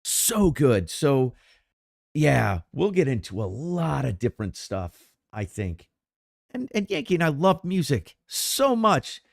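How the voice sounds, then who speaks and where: A middle-aged man co-hosting a podcast, talking in a loose, rambling way and often restarting his sentences. His pitch swoops all over the place with a lively bounce. The sound is dry and close, with no room echo. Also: slow, vocal fry. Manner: rambling, animated, frequent restarts. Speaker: podcast co-host. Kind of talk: casual chat.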